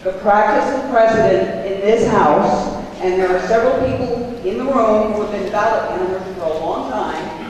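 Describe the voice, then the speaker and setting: A woman presiding over a legislative session, giving firm, brisk procedural rulings. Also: medium pitch, darkish tone. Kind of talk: formal parliamentary procedure. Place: large legislative hall. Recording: echoey chamber, distant mic, faint noise.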